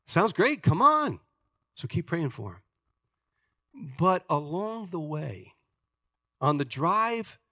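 A man's voice: on the high side, no room sound, clean studio sound. Emphatic preacher in his sixties. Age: 60s. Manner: emphatic, jokey preaching with wide swings in loudness. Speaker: pastor. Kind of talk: sermon.

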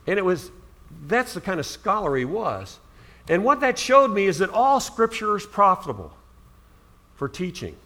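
A man's voice: high-pitched, very animated, moderate pace, bright, forceful tone. A middle-aged man from Ohio preaching a sermon as pastor, earnest, with emphatic rises on key words.

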